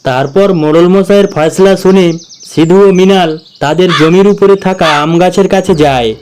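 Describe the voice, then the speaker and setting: A man's voice: high voice, moderate pace, a little mellow, a little hiss or hum. A man narrating a scripted cartoon moral story as storyteller.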